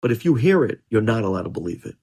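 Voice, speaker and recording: A man's voice: lowish voice, sing-song, quick pace, creaky, a little mellow, slightly rough. A man giving a religious lesson as a rabbi, explaining emphatically and posing questions that he then answers. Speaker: rabbi teaching religious law.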